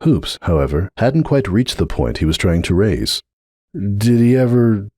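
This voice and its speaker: A man's voice: low-pitched, sing-song, normal speed, darkish tone, slightly rough, no echo, clean studio sound. A man reading a story aloud as narrator, in a dramatic scripted narration style. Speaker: narrator of fan fiction readings.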